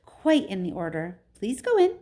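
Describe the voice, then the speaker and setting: A woman voicing an effusive, eccentric male factory owner from a children's storybook, with a high, fluty voice and gushing, quick-fire politeness. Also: huge pitch swings, mellow. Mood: effusive delight.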